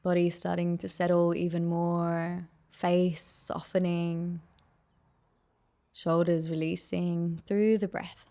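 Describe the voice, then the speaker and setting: A woman leading a guided meditation with soft, soothing, unhurried and gently encouraging instruction. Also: lowish voice, little pitch movement.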